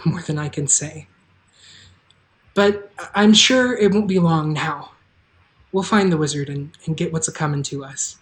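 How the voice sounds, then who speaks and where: A woman's voice: deep voice, measured pace, pauses often. A woman playing a kind, practical young farm girl in a live fantasy table read, earnest and reassuring. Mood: hopeful.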